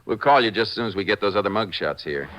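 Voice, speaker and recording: A man playing a terse, steady Texas Ranger who questions and narrates matter-of-factly in a 1950s radio drama. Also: on the low side, fast talker, close to an everyday voice. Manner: laconic, matter-of-fact questioning. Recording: muffled, noisy 1950s radio recording.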